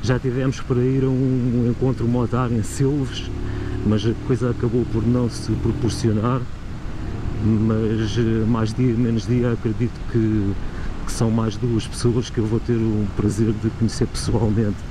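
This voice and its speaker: A man's voice: lowish voice, little pitch movement, moderate pace. A man with a European Portuguese accent, co-hosting a motovlog, chatting casually and thoughtfully while riding a motorcycle. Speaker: motovlog co-host. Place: on a motorcycle on open country roads. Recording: wind and road noise.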